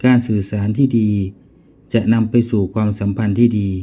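A man's voice: on the low side, steady tone, fairly quick, warm tone. A man reading a scripted moral summary as narrator, in a dull, muffled recording.

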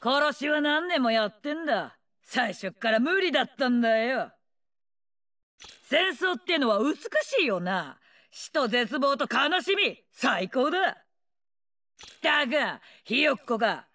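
A bloodthirsty old female warlord for a video game. Her voice is high, bright and forceful, swooping widely as she taunts. She snarls in rough slang, brash, mocking and gleeful in her menace. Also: sing-song, unhurried, exaggerated voice. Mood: scornful taunting. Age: older. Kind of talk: video game character voice lines.

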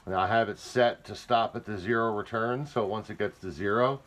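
Low-voiced man giving a casual demo. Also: steady tone, a little fast, fairly bright tone. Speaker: demonstrator of audio gear. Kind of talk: casual hands-on product demonstration. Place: indoor room.

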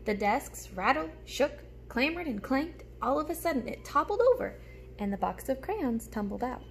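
A young woman with a slightly high voice reads a picture book aloud for children. She is animated and explains things like a teacher. She is recorded across a table in a small room, with noticeable background noise. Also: moderate pace, gravelly. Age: young adult. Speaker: teacher reading aloud to pupils. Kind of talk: storybook read-aloud with teacherly asides. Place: small room at home, indoors.

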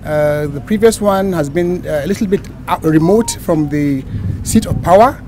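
Hesitant man, slightly high voice. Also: moderate pace, a little mellow, a little hiss or hum. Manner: hesitant, with many fillers. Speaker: official addressing the press. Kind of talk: remarks to the press.

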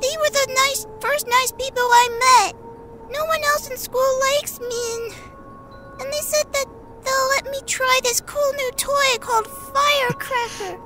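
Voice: extremely high pitch, steady tone, a little slow, sharp tone, faint music behind, heavily acted. A performer voicing an earnest eight-year-old skeleton little brother in a comic dub, childlike, stammering and emotional. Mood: upset, angry. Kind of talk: dramatic comic dub acting.

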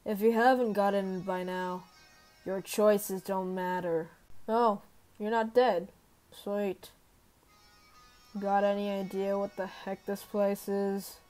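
A woman plays a gruff teenage girl monster, the bully of a video game. Her voice sits at a middling pitch and drags slowly through slangy, sarcastic lines. She sounds tough and sullen, with music playing underneath. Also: flat delivery, darkish tone, close to an everyday voice. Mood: casual. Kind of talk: amateur video game character voice demo.

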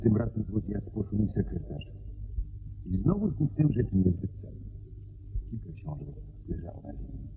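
An actor playing a worried, formal country gentleman in a radio play, telling his account gravely and deliberately. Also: low-pitched, big pitch swings, heavily acted. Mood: grave, troubled. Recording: dull radio drama recording with background noise.

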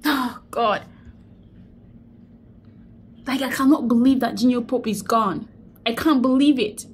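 A woman's voice: fairly high pitch, a little slow, breathy edge. A young woman talks close into her phone indoors, grieving and then preaching, repeating her phrases for emphasis. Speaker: vlogger. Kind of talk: emotional selfie vlog, preachy. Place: indoors, small room. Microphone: phone held close to face.

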